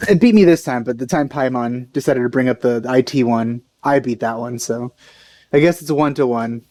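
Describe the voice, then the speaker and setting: A man's voice: medium pitch, fairly quick, mellow. A man giving casual let's play commentary on a video game. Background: faint game music.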